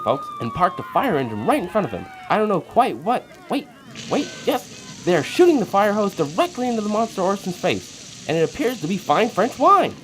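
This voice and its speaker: A man acts out an excitable male newscaster from an old radio play. His voice sits high and swings widely in pitch. He is formal yet breathless and melodramatic. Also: background noise. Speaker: radio news announcer. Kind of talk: 1940s radio acting.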